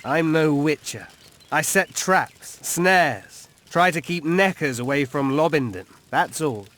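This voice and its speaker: A man's voice: a little high, animated, slow, fairly bright tone, a little hiss or hum. An actor playing a melancholy, cryptic old elf woodsman in a fantasy video game, musing with a tipsy drawl. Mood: aloof, matter-of-fact.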